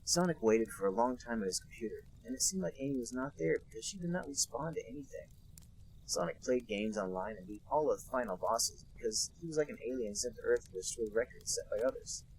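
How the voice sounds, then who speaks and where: A man's voice: mid-range voice, expressive, brisk, a little mellow, a little hiss or hum, poor audio. A young man, podcast co-host, narrating in a mock-serious comedic reading with dry asides.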